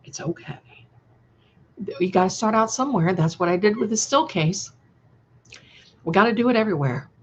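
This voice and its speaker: A woman's voice: mid-range voice, expressive, moderate pace, long pauses, breathy edge. A woman hosting a casual livestream, answering viewers in a scattered, affectionate way full of endearments. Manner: gushing, affectionate terms of endearment, scattered and conversational. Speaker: livestream host and channel creator. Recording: dull, lo-fi livestream audio.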